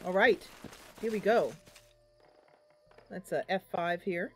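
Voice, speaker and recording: A woman's voice: on the low side, slow, a bit bright, husky. A woman giving casual gameplay commentary, chatting to viewers and thinking aloud as she goes. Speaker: gaming channel host. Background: game music.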